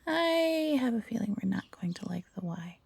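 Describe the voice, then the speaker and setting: A woman playing a wry fox hybrid traveller in a fantasy audio roleplay, with a breathy, gravelly voice. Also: fairly high pitch, sing-song, normal speed, darkish tone, full character voice. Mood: worried.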